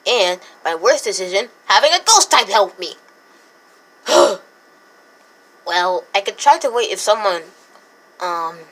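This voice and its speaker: A woman's voice: medium pitch, a bit bright, rough recording. A woman voicing a small otter creature stuck in a fridge, sulky and stammering, in a fan comic dub.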